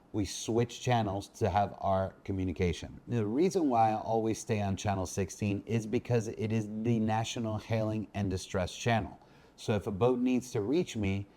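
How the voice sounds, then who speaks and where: A man with a fairly low voice hosts an instructional video. His tone is dark and relaxed, and he delivers scripted explanations in measured, orderly phrases. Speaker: boating instructor, video host.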